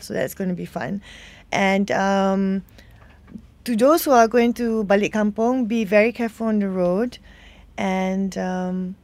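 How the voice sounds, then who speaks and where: A woman's voice: medium pitch, a little mellow. A woman, an actress chatting bubbly in an interview. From Malaysia. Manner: bubbly, chatty, lots of fillers. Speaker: actress guest. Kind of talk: casual interview chat.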